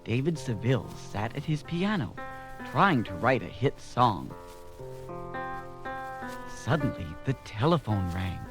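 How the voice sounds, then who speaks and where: Animated male storyteller with music behind. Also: mid-range voice, a little slow, long pauses. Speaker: narrator. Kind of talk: scripted children's read-along storytelling.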